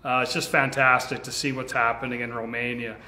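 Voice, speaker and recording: A middle-aged man, a company vice president, speaks earnestly and reassuringly to sales leaders, with frequent restarts, standing in a bright office. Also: mid-range voice, level tone, fairly quick. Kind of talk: video address to sales leaders. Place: large bright office with tall windows, indoors. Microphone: standing before a fixed camera, two-shot. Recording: echoey room.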